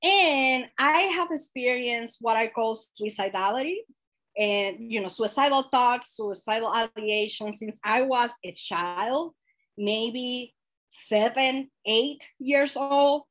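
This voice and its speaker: A woman gives an earnest presentation over a video call. Her voice sits a little high, and her delivery is animated and sincere, with fillers and soft laughs. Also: slow. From Dominican Republic. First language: Spanish. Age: late 20s. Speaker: guest speaker.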